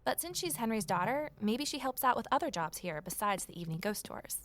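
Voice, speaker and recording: An actress playing a determined, resourceful amateur sleuth in an audio drama, brisk and persuasive as she narrates and talks. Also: on the high side, sing-song, a bit bright, slight background noise. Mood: urgent, observant. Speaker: narrator and lead character.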